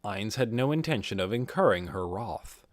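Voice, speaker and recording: A man's voice: fairly low pitch, animated, normal speed, no room sound, studio quality. A man reading fantasy fiction aloud as an audiobook narrator, in a scripted storytelling style.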